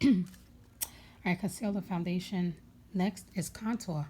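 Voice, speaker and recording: A young woman with a raspy voice chatting casually at home, rambling with many fillers as she goes through her makeup bag. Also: fairly low pitch, unhurried, warm tone. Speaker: beauty vlogger.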